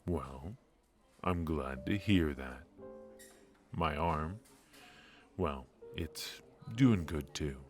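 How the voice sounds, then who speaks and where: Man acting a deep, slow noir agent. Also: soft background music, exaggerated voice. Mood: weary, teasing. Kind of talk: intimate ASMR roleplay voice acting.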